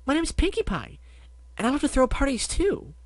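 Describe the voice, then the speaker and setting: Guy doing a squeaky hyper pink pony girl, super high, rambles nonstop. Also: normal speed, no echo. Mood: bubbly. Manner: excitable, breathless rambling. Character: hyper bubbly young pink pony mare.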